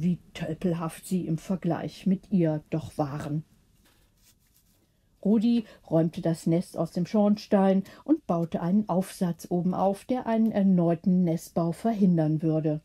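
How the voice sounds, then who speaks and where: Female audiobook narrator: scripted bedtime tale, measured and expressive, slow pace, gentle dark tone. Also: fairly low pitch.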